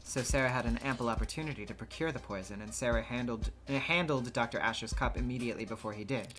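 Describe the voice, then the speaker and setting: Woman acting a low-voiced, sharp, formal courtroom prosecutor. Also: quick pace, faint music behind. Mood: formal, probing. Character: poised, formal courtroom prosecutor with dry barbs. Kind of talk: character acting in a visual novel playthrough.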